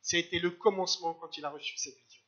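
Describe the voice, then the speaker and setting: A man from Algeria preaching a church sermon in short phrases, pausing for an interpreter. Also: fairly high pitch, steady tone, sharp tone, muffled recording. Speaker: guest preacher. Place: church service.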